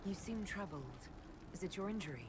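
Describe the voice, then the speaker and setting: A woman's voice: on the low side, lively intonation, moderate pace, noisy background, close to an everyday voice. An actress playing a tactful, self-possessed heroine in a fantasy game, polite and direct as she questions people. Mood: courteous. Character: composed, tactful female inquisitor, a questioning heroine. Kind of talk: scripted fantasy game dialogue.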